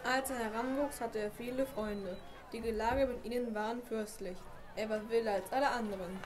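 A woman's voice: fairly high pitch, steady tone, moderate pace, natural voice. A woman playing a townsperson testifying about a friend in an amateur radio play. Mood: reminiscing.

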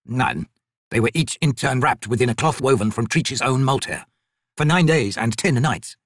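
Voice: mid-range voice, dry recording, studio quality, lightly acted. A narrator playing a meek, nervous old male trader in an audiobook, hesitant and fussily formal. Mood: squirming, formal. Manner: slow, hesitant, formal and fussy.

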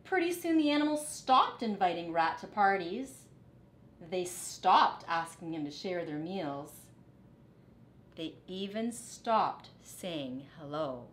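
A middle-aged woman reading a children's picture book aloud deliberately and expressively in a living room. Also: fairly low pitch, animated, echoey room, slight background noise. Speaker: storyteller, teacher. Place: living room, indoors on a couch.

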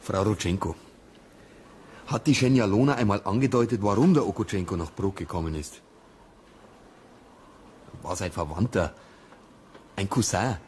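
An actor playing a dry, persistent police detective with a Bavarian accent and a colloquial, matter-of-fact manner. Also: male voice, deep voice, normal speed, long pauses, a little mellow, a little hiss or hum, lightly acted. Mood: probing.

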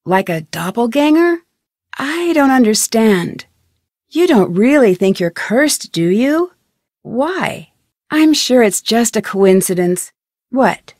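A woman's voice: a little high, big pitch swings, slow pace, darkish tone, no echo, clean studio sound, full character voice. A young American woman voicing an inquisitive, polite and determined amateur detective in an adventure video game, with an earnest, questioning delivery. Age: young adult. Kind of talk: scripted adventure game voice acting.

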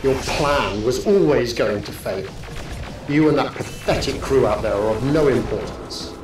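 An actor playing a menacing villain commander in a sci-fi audio drama, imperious and threatening. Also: male voice, medium pitch, animated, measured pace, natural voice. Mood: contemptuous. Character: menacing villain commander serving a dark master. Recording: distant, echoing, music underneath.

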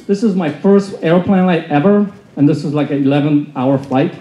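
A man's voice: a little high, normal speed. Polish-born man telling his story to a room. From Poland. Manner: loud, animated storytelling. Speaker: motivational speaker. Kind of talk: storytelling talk to an audience. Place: large conference hall with a seated audience. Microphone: handheld mic, filmed from the back of the room. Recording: echoey hall, dull sound.

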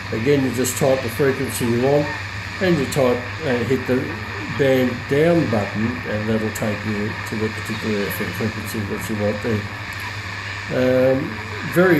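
Slow, hesitant man in an echoey room. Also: mid-range voice. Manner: hesitant, unscripted, pausing often mid-sentence. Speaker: radio hobbyist reviewer. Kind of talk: informal product demonstration. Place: indoor room with hard countertop. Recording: roomy echo, clear background noise.

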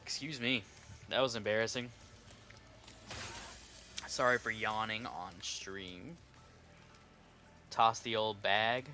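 A man's voice: on the low side, fairly even intonation, takes it slowly, long pauses, bright, forceful tone, slight background noise. A young man on a headset mic, casually commenting while he plays a game and jokingly mimicking its lines. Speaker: streamer. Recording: game music under voice. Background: game music.

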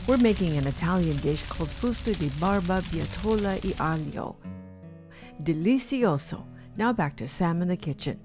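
A woman's voice: on the low side, lively intonation, normal speed, darkish tone. A woman reading scripted recipe narration for a cooking segment. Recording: clear music behind voice.

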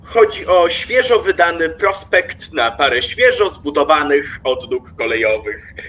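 An actor playing a smug, pragmatic railway stationmaster in a radio play, pompous and self-satisfied. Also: male voice, fairly high pitch, expressive, measured pace, pushed and bright, muffled recording, a little hiss or hum.

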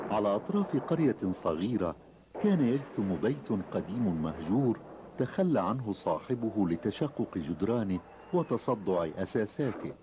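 A man's voice: fairly low pitch, mellow, slight background noise. A man narrating a scripted children's story as its narrator.

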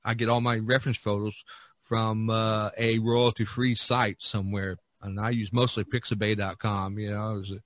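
A middle-aged or older man hosting a casual podcast discussion, talking haltingly with lots of repetition. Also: fairly low pitch, level tone, a bit bright, dry recording. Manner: halting, repetitive, peppered with 'you know'. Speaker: podcast host.

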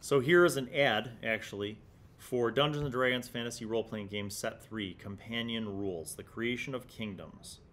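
A middle-aged man with a medium-pitched voice talks through old gaming magazines as a host. He is reflective and explanatory, often pausing and repeating a word as he works out his point. He speaks casually into a desktop studio microphone in a small room. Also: fairly bright tone. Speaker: host of a tabletop gaming retrospective series. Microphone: large desktop studio microphone.

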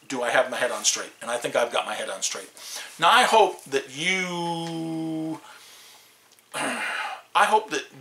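Chicago guy, 50s, rambling vlog talk, lots of ums and restarts, bright voice. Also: medium pitch, reverberant, poor audio. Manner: rambling, many restarts and fillers, talks with his hands. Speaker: vlogger. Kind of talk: casual talk to camera. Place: small room in an RV.

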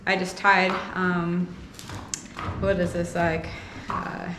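A young woman giving a casual hands-on hoof trimming tutorial, thinking aloud in short, halting phrases as she works in a barn. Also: on the low side, flat delivery. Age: young adult. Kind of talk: casual hands-on demonstration talk. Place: indoor barn with concrete floor. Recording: clear rasping and clattering noise. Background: filing, scraping and clatter of tools.

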